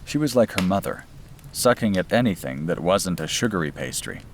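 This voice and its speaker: A man's voice: low-pitched, animated, normal speed. A man reading a scripted bedtime story as its narrator. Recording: clear background noise and ambience.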